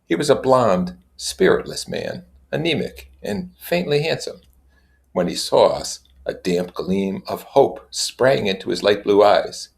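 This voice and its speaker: A man with a very deep voice and plenty of fry reads literature aloud. His delivery is measured for the text and informal when he comments on it. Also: expressive. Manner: measured reading with informal asides. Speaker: reader and commentator. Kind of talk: literary read-aloud with casual explanatory comments.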